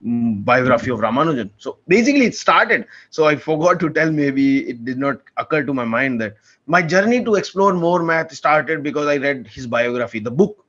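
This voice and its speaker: A young man talks casually as a podcast guest. He sounds earnest and keen, his voice sits a bit high for a man, and he often restarts his sentences as he goes. The audio is a little muffled. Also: animated, normal speed, fairly bright tone. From India. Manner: earnest, enthusiastic, rambling with restarts. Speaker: podcast guest, PhD student. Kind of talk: casual conversational interview.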